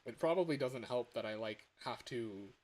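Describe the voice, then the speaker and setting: A man in his early twenties chatting casually on a livestream as a game developer, rambling in an excitable, self-deprecating, giggly way. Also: mid-range voice, moderate pace. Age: early 20s. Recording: hissy home livestream audio.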